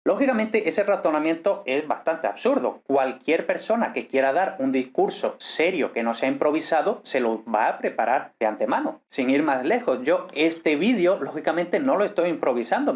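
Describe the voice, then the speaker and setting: Emphatic man lecturing. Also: fairly high pitch. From Spain. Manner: emphatic, scornful of bad arguments. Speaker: philologist and educational video host. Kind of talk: prepared explanatory video lecture.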